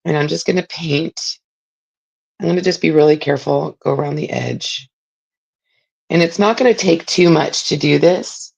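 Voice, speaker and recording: Raspy-voiced woman hosting a craft livestream. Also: deep voice, normal speed, darkish tone, low-quality recording. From Southern California. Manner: chatty, greets viewers by name, many fillers. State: raspy from a spring cold. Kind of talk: casual live craft demo chat. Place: home craft room.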